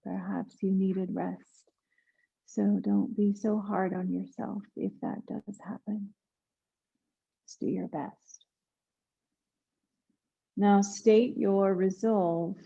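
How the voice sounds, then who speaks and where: A woman guiding a yoga nidra meditation in a hushed, soothing manner, leaving long pauses between instructions. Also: mid-range voice, steady tone, creaky, breathy edge, lo-fi sound. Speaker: meditation guide.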